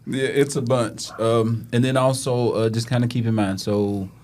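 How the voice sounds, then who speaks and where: A man hosting a casual podcast, relaxed and joking, with plenty of fillers. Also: mid-range voice, moderate pace, slight background noise. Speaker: podcast host.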